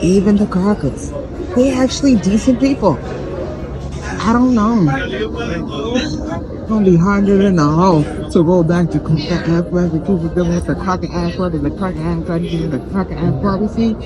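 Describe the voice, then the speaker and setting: A woman's voice: fairly low pitch, normal speed, crowd noise, poor audio. A woman giving casual travel vlog commentary in a blunt, slangy, profane way. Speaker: travel vlogger and podcast host.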